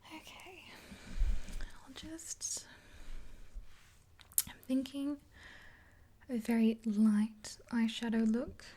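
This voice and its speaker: A woman in her twenties doing a gentle ASMR roleplay in a soft, unhurried, breathy whisper, close to the mic. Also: on the high side. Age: 20s. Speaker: ASMR video creator. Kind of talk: ASMR roleplay, whispered makeover with rambling chat. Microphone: close to the mic, facing camera.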